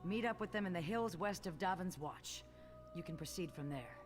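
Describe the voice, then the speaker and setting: An actor, a woman, playing an earnest, newly appointed guildmaster in a fantasy game, speaking in a measured, formal way. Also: on the low side, expressive, slightly rough. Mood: businesslike. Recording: game music underneath.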